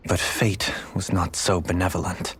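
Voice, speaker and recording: An actor playing a refined, melancholy young lord in a video game, speaking in formal, eloquent, measured phrases. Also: male voice, low-pitched, level tone, a bit bright, slightly rough, exaggerated voice. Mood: sorrowful, regretful. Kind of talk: dramatic video game voice acting.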